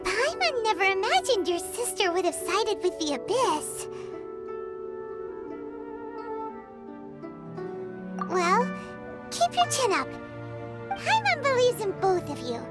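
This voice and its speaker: Super high squeaky little fairy girl from a game, bubbly and bouncy intonation, music playing behind. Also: big pitch swings, a little slow, heavily acted. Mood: concerned, encouraging. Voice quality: squeaky, childlike. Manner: perky, speaks of self in third person. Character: tiny bubbly childlike fairy girl companion. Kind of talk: video game character voice acting. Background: tinkling game music.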